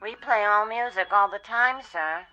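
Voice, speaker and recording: A woman plays an unflappable, polite receptionist in a radio drama. Her voice is bright and businesslike, muffled by a phone line, with faint music behind. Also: mid-range voice, moderate pace, lightly acted. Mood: polite, puzzled. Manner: courteous, businesslike, bland. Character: polite, unflappable female radio station switchboard operator. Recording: dull phone-line sound.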